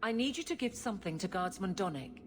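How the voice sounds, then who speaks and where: A woman playing a stern, guarded captain of the city guard in a video game, speaking briskly and formally. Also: mid-range voice, expressive, slight background noise, close to an everyday voice. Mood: guarded, uneasy.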